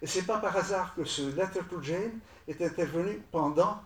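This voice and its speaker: A man whose first language is Arabic, recounting his film work to an audience in a halting way, repeating words as he restarts. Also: high-pitched, distant mic. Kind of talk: spoken recollection to an audience. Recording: echoey room, voice from off-mic.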